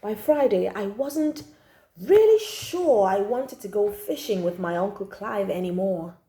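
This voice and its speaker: A woman's voice: medium pitch, lively intonation, unhurried, mellow. A woman reading a children's story aloud expressively, drawing out key words for emphasis.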